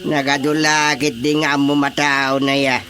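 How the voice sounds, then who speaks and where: Slow-talking, scolding Filipino village chairman. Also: medium pitch, flat delivery, bright, forceful tone. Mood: exasperated. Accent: Filipino, Ilocano. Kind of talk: radio drama comedy acting.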